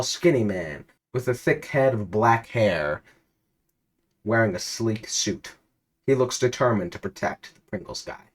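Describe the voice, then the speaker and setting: A man narrating an absurd interactive story aloud in a theatrical, emphatic way. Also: fairly low pitch. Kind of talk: dramatic read-aloud of absurd interactive fiction.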